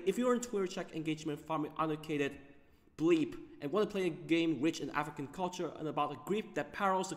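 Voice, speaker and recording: A man hosting a gaming video, giving off-the-cuff commentary in a halting way, often stumbling over his words. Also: a little high, in a large hall.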